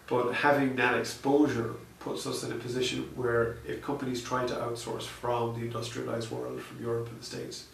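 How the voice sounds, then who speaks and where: A man's voice: mid-range voice, monotone, moderate pace, vocal fry, hardly any pauses. A man answering interview questions in a hesitant, conversational way, with many fillers and restarts. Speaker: interviewee, software researcher. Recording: echoey room, distant mic, faint noise.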